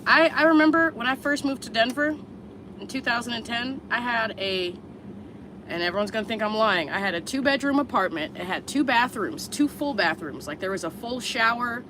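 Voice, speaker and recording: A woman's voice: a little high, expressive, normal speed, background noise. A woman in her thirties from the Chicago area, chatting bluntly and candidly with viewers on a casual livestream and swearing freely. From Chicago area, USA. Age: 30s. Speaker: vlogger answering viewers live.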